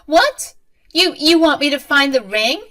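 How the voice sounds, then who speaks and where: A woman's voice: medium pitch, animated, measured pace, a bit bright. A snobbish, middle-aged mother-in-law, as a woman narrator plays her, with a haughty and scornful way of speaking. She is cruel and full of her own status in this dramatized story. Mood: indignant.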